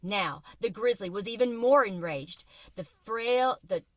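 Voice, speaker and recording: A woman reading a children's book aloud from inside a car, with expressive, suspenseful dramatic narration like a teacher. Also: mid-range voice, unhurried, dry recording. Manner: expressive, suspenseful storytelling with varied volume.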